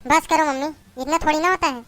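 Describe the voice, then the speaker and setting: A woman playing a young college-going daughter who gently calms her mother and her sister-in-law. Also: sits high, fast. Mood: gentle.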